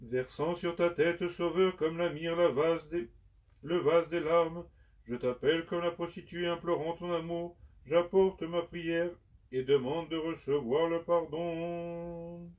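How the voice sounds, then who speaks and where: A man giving a slow, solemn liturgical recitation of penitential prayers in measured, prayerful phrases. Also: a little high, fairly even intonation. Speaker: liturgical reader. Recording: dull sound from far off the mic.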